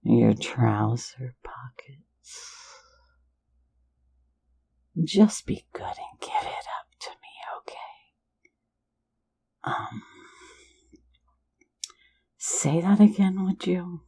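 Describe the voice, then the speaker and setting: A playful phantom thief, voiced by a woman, leans close to murmur in a low, gravelly whisper. The tone is dark and unhurried, teasing and flirtatious, delivered right against the mic like an intimate ASMR roleplay. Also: lively intonation, heavily acted. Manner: soft intimate whisper, mocking and coaxing.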